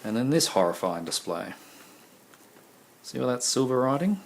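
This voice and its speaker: A man's voice: mid-range voice, big pitch swings, moderate pace, creaky. A man showing his video collection in a casual, rambling way, full of ums, while losing his voice. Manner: rambling, many ums and uhs. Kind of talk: casual show-and-tell. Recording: noisy home recording.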